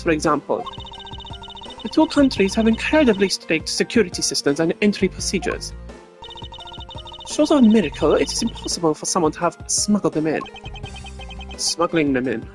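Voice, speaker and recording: A formal young male prosecutor from a video game, acted out by a man, reasons in a measured, analytical way. Each phrase is deliberate, and music plays clearly behind him. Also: fairly high pitch, voice pushed up, lightly acted. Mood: grave, deliberate.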